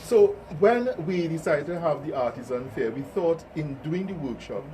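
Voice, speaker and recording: A man's voice: a little high, animated, moderate pace, dark tone. A man, hosting an informal interview and speaking as an organiser. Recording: distant mic, faint background noise.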